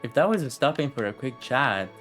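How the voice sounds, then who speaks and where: A woman's voice: very deep voice, expressive, a little fast, a bit bright, soft background music. A woman giving casual, offhand live commentary on a game, muttering sweary reactions. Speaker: game streamer.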